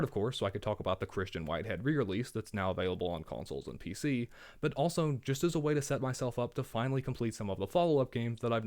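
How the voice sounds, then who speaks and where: A man's voice: mid-range voice, a little mellow. A man narrating a scripted game review with wry, sarcastic asides, his voice worn from a cold. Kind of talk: scripted video essay narration.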